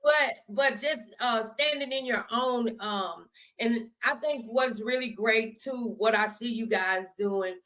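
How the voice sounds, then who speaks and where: A woman's voice: a little high, takes it slowly, a bit bright, poor audio. An American woman hosting a conversational faith interview, speaking in an emphatic, affirming, folksy way. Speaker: talk show host.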